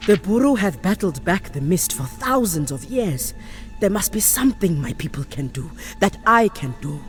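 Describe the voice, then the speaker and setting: A solemn island priestess from a fantasy game, played by a woman. She is formal, measured and resolute, and music plays clearly behind her. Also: medium pitch, very animated, lightly acted. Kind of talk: video game character acting.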